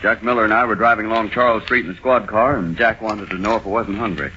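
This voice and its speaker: A man's voice: on the low side, fairly even intonation, fast talker, close to an everyday voice. Nervous young cop on crackly old radio man acting. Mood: sheepish. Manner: defensive, pleading, hesitant. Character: nervous, earnest young patrolman under interrogation. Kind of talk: vintage radio crime drama acting. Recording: noisy, muffled old-time radio recording.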